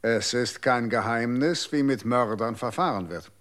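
An actor playing a calm, dismissive official who lectures on the law in a radio drama. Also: male voice, mid-range voice, unhurried, natural voice. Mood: cold. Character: cold, condescending official defending the law.

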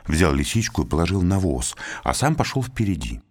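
Male narrator of children's folk tales, expressive, animated, swooping intonation, lowish. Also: a little fast, loud music behind the voice. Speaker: storyteller. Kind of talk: reading a folk tale for small children.

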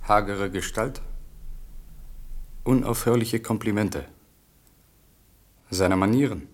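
A sober chronicler in a radio drama. His voice is deep, and he reports with detachment. The phrases come measured and clipped, like careful observations. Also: no room sound. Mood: hushed, detached. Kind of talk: German radio play narration.

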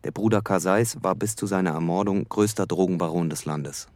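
Documentary-style actor for a male fact reciter in radio drama: deep bass register, dry, factual. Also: brisk, a little mellow, natural voice. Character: matter-of-fact male expert reciting facts and figures.

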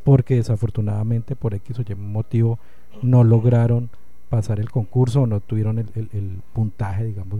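Very dark, relaxed Colombian male host. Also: medium pitch. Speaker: interview host. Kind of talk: conversational interview.

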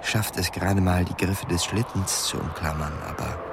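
A man's voice: deep voice, steady tone, moderate pace, very noisy. A man reading literary narration in a radio play. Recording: studio radio play with music underneath. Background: clearly audible music.

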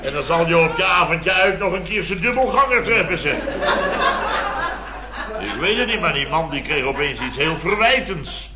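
An actor playing a blustering, indignant middle-aged married contractor, telling a rambling, exasperated comic anecdote in a radio serial. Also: male voice, a little high, lively intonation, moderate pace, heavy background noise, natural voice. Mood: sheepish, indignant. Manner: exasperated, rambling, mimics others mockingly. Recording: muffled old radio, echoey, audience laughter.

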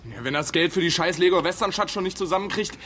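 An actor playing a snappy, grumbling young apprentice, curt and unimpressed, in a naturalistic radio play. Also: male voice, high-pitched, quick pace, background noise, close to an everyday voice.

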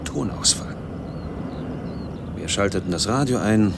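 A man's voice: fairly low pitch, animated, measured pace, gravelly, very noisy. An actor playing a lonely, bitterly brooding man in his forties in a radio drama monologue, speaking in clipped, literary fragments. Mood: wry, brooding. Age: 40s.

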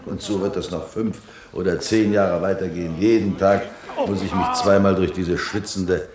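An actor playing a middle-aged, weary and pessimistic office clerk in a science-fiction radio drama, resigned and dry in manner. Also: male voice, deep voice, fairly even intonation, a little slow, a little mellow. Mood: bitter, weary. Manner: resigned, dry, matter-of-fact. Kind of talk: German radio drama acting.

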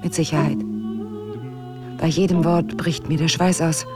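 Low-voiced, guarded wife. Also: female voice, little pitch movement, measured pace, over loud music. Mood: seductive. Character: guarded, strong-willed wife, coolly seductive. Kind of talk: radio drama acting.

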